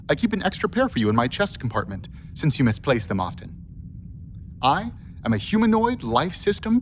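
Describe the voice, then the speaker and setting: A man's voice: medium pitch, a bit bright, slight background noise. A man playing an earnest, affectionate humanoid helper robot in a radio play, speaking in a precise, literal way.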